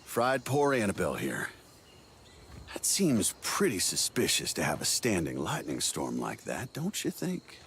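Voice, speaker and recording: Game role: breezy older operative, wheedling schemer, swooping intonation on a fairly low voice. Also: male voice, huge pitch swings, a little slow, fairly bright tone, a little hiss or hum, heavily acted. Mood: persuasive. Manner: folksy, wheedling, glib. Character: sly, breezy older male agency operative, smooth-talking schemer. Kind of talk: video game cutscene acting.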